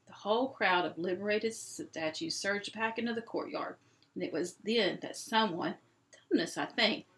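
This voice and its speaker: A woman reading a children's novel aloud as an expressive storyteller. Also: mid-range voice, normal speed. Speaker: storybook reader.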